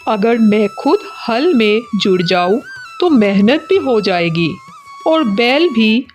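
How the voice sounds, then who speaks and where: A woman's voice: on the high side, sing-song, slow, faint music behind. A woman voicing a naive, gullible young village man, simple and earnest, in a cartoon story. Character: naive, gullible twenty-year-old village lad.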